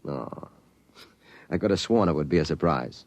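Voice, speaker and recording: A man's voice: extremely low pitch, expressive, no room sound, muffled recording. An actor playing a teasing, folksy middle-aged American father in a 1950s radio drama. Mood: teasing, fond. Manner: brisk, folksy, joshing.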